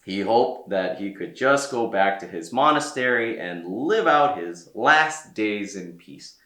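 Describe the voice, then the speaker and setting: A man reading a children's story aloud as a storyteller, with theatrical delivery and deliberate pauses. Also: fairly low pitch, expressive, a bit bright, roomy sound. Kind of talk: expressive read-aloud narration for children.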